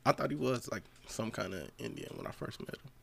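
A man in his thirties, a comedian chatting casually and telling animated stories. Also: brisk, creaky, slight background noise. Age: 30s. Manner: animated storyteller, jumps high into character voices. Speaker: comedian guest. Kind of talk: casual chat.

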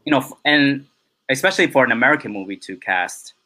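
A man's voice: mid-range voice, lively intonation, quick pace, bright, forceful tone. A young man chatting as a podcast guest, rambling and filling his talk with "you know" and "um". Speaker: podcast guest, film assistant director. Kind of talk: casual interview chat.

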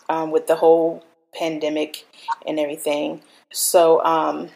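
A woman hosting a talk show, loud and chatty, with frequent fillers. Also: fairly low pitch. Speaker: host.

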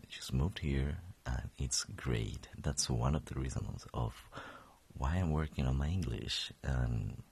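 Gravelly deep-voiced young man, just woken. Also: darkish tone. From Colombia. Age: 20s. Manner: rambling, self-correcting, chuckling. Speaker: podcast host. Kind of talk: casual solo podcast.

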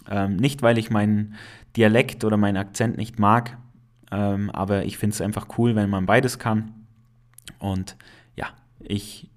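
Chatty young man with an Allgäu accent. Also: lowish voice. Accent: Allgäu dialect. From Allgäu, Germany. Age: 20s. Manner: relaxed and chatty, frequent hesitations, laughs at himself. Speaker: podcast host. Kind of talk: casual solo podcast.

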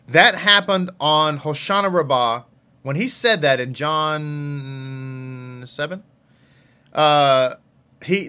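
A man with a fairly high, bright and forceful voice teaches scripture informally. He speaks as a religious teacher, leaning on key phrases and repeating them to drive the point home. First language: English. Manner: emphatic, repeats phrases for emphasis.

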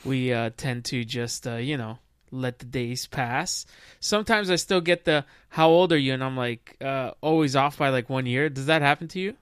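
A man's voice: mid-range voice, normal speed, hardly any pauses, fairly bright tone, smooth, dry recording, studio quality. A man in his thirties co-hosting a casual tech podcast, chatty and joking. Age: 30s. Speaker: podcast co-host.

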